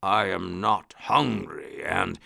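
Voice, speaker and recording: Storybook lion: huge, polite, apologetic, rumbling and gravelly, drawn-out delivery. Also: male voice, dry recording, clean studio sound, full character voice. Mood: hushed, polite. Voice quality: loud, rumbling. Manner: slow, courtly, apologetic. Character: big gentle polite male circus lion.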